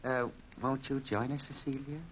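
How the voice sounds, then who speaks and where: A man's voice: medium pitch, fairly even intonation, normal speed, fairly bright tone, close to an everyday voice. Man acting a menacing, mock-polite fat villain. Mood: oily politeness. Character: fat, sinister gambling-house boss, menacingly courteous villain. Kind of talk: 1940s radio acting. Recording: muffled, noisy 1940s radio recording.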